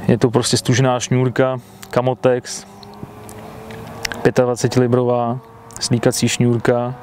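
Flat-spoken man in his thirties, outdoors. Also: medium pitch, slight background noise. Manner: plain, matter-of-fact, flat delivery. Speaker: carp angler being interviewed. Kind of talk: relaxed outdoor interview. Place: outdoors by a lake, seated at a fishing bivvy.

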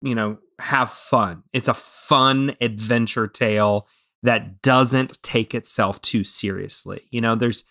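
A man's voice: medium pitch, steady tone, slow, bright, forceful tone. An American man in his thirties from the Midwest, co-hosting a casual film discussion in an enthusiastic, rambling way. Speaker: podcast co-host. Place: small room at home, indoors. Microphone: close podcast microphone with headphones.

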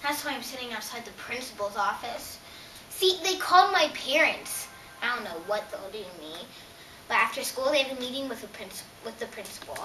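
A woman's voice: on the high side, expressive, fairly quick, pushed and bright. A girl acting a worried school kid in trouble, confiding in a dramatic stage monologue. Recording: noisy echoey room, distant mic.

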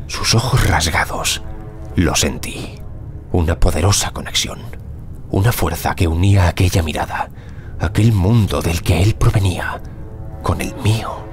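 An actor playing an awed, reflective archaeologist in an audio drama, speaking with solemn, measured wonder. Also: male voice, low voice. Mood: solemn, moved. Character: Howard Carter, English archaeologist, awed, intense, reflective. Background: music behind the voice.

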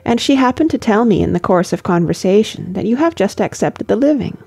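A woman's voice: mid-range voice, expressive, a little fast, gravelly, a little mellow, slightly rough. A woman voicing a prim, politely controlling spinster chaperone in a story, restrained and formal.

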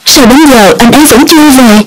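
A woman's voice: on the high side, a little fast, close to an everyday voice, poor audio. A woman playing a distressed, devoted young wife in a cartoon drama, speaking emotively and pleadingly. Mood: worried. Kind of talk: cartoon voice acting. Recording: loud music and background noise.

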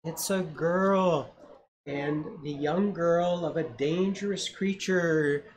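A reader voicing a wise, gentle civet in a storybook, speaking slowly and reassuringly. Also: medium pitch, a little hiss or hum, voice pushed up, close to an everyday voice, poor audio. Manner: slow, reassuring storybook reading.

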